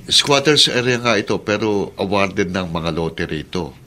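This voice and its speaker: An actor playing a firm, matter-of-fact man selling his small house in a radio drama, brisk and unbudging. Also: low-pitched, expressive, bright, forceful tone, a little hiss or hum, full character voice. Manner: brisk, plain-spoken, unbudging.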